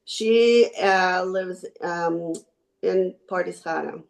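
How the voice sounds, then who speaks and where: An older woman from Israel talking casually as an interview guest, halting and correcting herself as she goes. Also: fairly low pitch, creaky. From born in Israel. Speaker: podcast guest, retired teacher. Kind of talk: casual conversational reminiscing.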